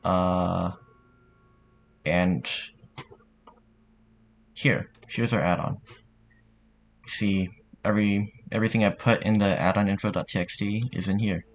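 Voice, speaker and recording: Tutorial host: man, lowish voice, casual and halting delivery, slightly noisy desk recording with typing behind. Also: steady tone. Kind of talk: casual software tutorial narration.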